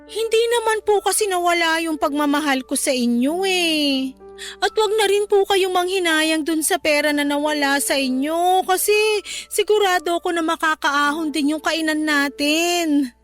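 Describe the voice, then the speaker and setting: A young adult Filipina daughter in an emotional radio drama. Her voice is extremely high, polite and earnest, devoted and forgiving in tone. A music bed sits under her lines. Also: moderate pace. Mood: reassuring.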